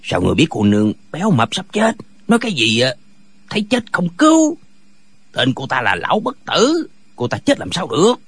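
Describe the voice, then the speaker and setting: A man's voice: on the high side, huge pitch swings, brisk, a bit bright, heavily acted. A man playing a blustering, contrary martial-arts eccentric who argues emphatically in a dramatized wuxia audiobook. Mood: bickering, mocking.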